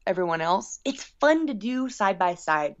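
A woman speaking as a musician guest in a casual interview, telling stories in an effusive, animated way. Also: medium pitch, moderate pace, highs cut off.

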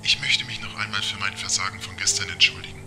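A man's voice: on the low side, fast, noisy background, close to an everyday voice. A man playing a polite, literal-minded talking car computer in an amateur radio play, formal and precise. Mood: earnest, apologetic.

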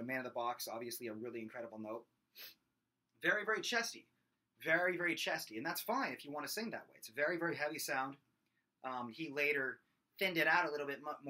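A man's voice: sharp tone, breathy edge. A man in his thirties with a small, nasal, somewhat whiny voice, giving a casual singing lesson to a webcam in a room at home, talking with his hands. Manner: talks with his hands, demonstrates belted high screams. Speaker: vocal coach. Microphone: webcam at desk distance.

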